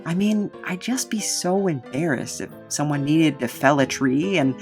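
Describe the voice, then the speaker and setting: A woman's voice: deep voice, lively intonation, moderate pace, darkish tone, faint music behind. A woman playing a quirky, self-loathing drifter with a rambling, sardonic, conversational delivery in an audio drama. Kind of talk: naturalistic audio drama acting.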